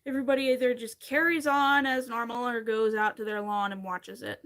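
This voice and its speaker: A woman's voice: on the high side, fairly even intonation, fairly quick, fairly bright tone. A woman in her thirties from Pennsylvania, chatting casually and rambling with wry asides while she crochets on a livestream.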